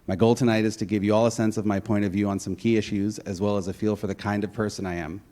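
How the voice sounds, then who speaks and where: A man's voice: on the low side, little pitch movement, fast, dark tone. A man in his forties, a school board candidate, reading an earnest prepared statement at a public forum. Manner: earnest, reads a prepared speech.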